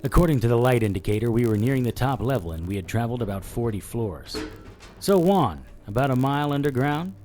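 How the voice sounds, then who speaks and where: Casting: male actor as noir-style gumshoe for audio drama, mid-pitched, lively range, dry needling wit. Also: quick pace, faint music behind, lightly acted. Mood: wry narration. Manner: sardonic, needling. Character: wisecracking, defiant male noir detective hero. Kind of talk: audio drama dialogue and first-person narration.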